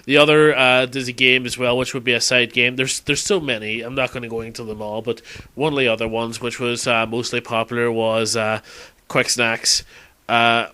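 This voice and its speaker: A man's voice: mid-range voice, flat delivery, moderate pace, bright, forceful tone, clean tone. A man talking casually and unscripted as a game fan in an audio log, hesitating, rambling and correcting himself as he reminisces.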